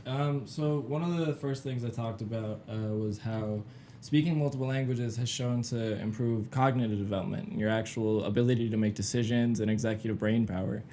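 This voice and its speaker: Man, podcast host, casual, lots of fillers, mellow tone, faint background noise. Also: mid-range voice, steady tone, a little fast. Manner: loose, filler-heavy talk.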